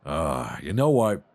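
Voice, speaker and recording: Man acting as a very deep-voiced, chatty romantic traveler. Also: no room sound, full character voice. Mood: flustered, flirty. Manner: rambling, hesitant, self-deprecating, chuckling. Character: chatty, flustered, warmly flirtatious male airline passenger. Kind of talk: romance ASMR roleplay audio drama. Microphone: close binaural microphone.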